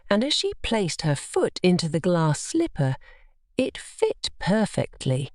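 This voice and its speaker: Animated, low-voiced woman storyteller. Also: dry recording, studio quality. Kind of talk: scripted children's bedtime story narration.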